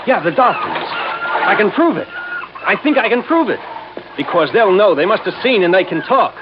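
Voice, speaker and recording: A man's voice: sits high, wildly swooping pitch, moderate pace. An actor playing an agitated, insistent, accusing man in an old-time radio drama. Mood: frantic, insistent. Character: excitable, accusing man obsessed with talking dolphins. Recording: noisy, muffled old radio recording.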